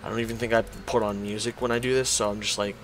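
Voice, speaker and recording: A man talking casually to viewers while he draws, his voice hoarse and strained from hours of talking and his thoughts rambling along as he goes. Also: on the low side, little pitch movement, fast, fairly bright tone, faint music behind. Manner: rambling, thinks aloud. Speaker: YouTuber drawing on stream. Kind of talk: casual commentary while drawing.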